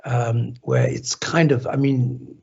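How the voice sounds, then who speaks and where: A man's voice: mid-range voice, steady tone, slow pace, gravelly, a little mellow, breathy edge. A man hosting an interview, asking long questions full of fillers and restarts. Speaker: interview host. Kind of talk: casual interview conversation. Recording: dull lo-fi audio.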